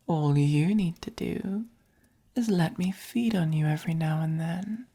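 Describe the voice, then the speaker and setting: A woman voicing a gentle, possessive, doting vampire in a soft-spoken ASMR roleplay, with an English accent and breathy, whispery, coaxing delivery. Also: fairly low pitch, exaggerated voice. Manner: slow, coaxing, gently commanding. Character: gentle, possessive, doting dominant vampire keeping a human pet.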